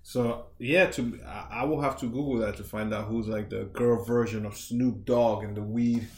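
A man's voice: fairly low pitch, steady tone, moderate pace, roomy sound. A man co-hosting a podcast in casual chat, rambling with lots of filler words. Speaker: podcast co-host.

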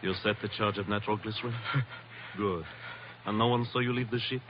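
An actor playing a conspiring saboteur in a 1940s radio drama. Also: male voice, lowish voice, steady tone, fairly quick, lightly acted. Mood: conspiratorial. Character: conspiring saboteur, sly then terrified. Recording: muffled radio drama with loud background noise.

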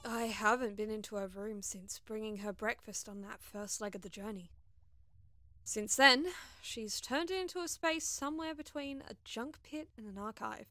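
A woman acting as a sardonic young space heroine in an audio drama. Her voice is fairly high and bright, recorded close and dry. She is expressive and easily exasperated, telling her own adventure with a wry edge. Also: moderate pace, no echo. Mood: wry, observing. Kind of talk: audio drama acting with first-person narration.

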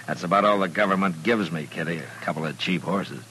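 Deep-voiced Old West marshal. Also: male voice, moderate pace, bright, forceful tone, a little hiss or hum, poor audio. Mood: easygoing. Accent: American. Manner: laconic, firm, understated. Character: steady, dry-humored Old West marshal. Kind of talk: 1950s radio western acting and narration. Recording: old radio drama with music.

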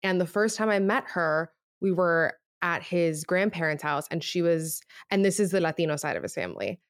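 A woman's voice: on the low side, animated, normal speed, dry recording, clean studio sound. A woman co-hosting a podcast, casually telling personal anecdotes in informal, conversational speech. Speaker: podcast co-host.

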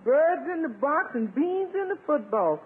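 An actress playing a sassy, grumbling household cook in a 1950s radio comedy. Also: female voice, high voice, big pitch swings, measured pace. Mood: sassy, incredulous. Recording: noisy, narrow, tinny 1950s radio recording.

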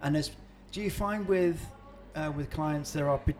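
Casting: chatty male podcast co-host, higher voice, encouraging, light hiss behind. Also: moderate pace, a little mellow. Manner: chatty and encouraging, with lots of "to be fair" asides. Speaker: podcast co-host and wedding celebrant. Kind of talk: casual podcast chat.

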